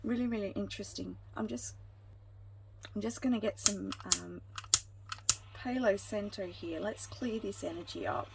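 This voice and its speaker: A woman reads tarot for her viewers in a gentle, reassuring way, her pitch sitting in the middle for a woman. She wanders through her thoughts with many ums and restarts. A faint hiss of background noise sits under her voice. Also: normal speed, vocal fry, pauses often. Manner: meandering, frequent ums and restarts. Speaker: tarot card reader. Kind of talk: intuitive pick-a-card reading.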